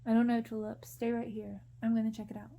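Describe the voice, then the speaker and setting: A woman's voice: fairly high pitch, flat delivery, fast, gravelly, dark tone. A woman voicing a moody, impatient prince with a curt manner in a storybook. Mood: panicked. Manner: curt, commanding.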